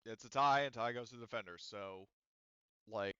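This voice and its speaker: A man's voice: mid-range voice, normal speed, bright, forceful tone, smooth, no echo, dull audio. A man running a tabletop roleplaying game as game master, casual and rambling with many fillers. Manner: rambling, lots of fillers and restarts.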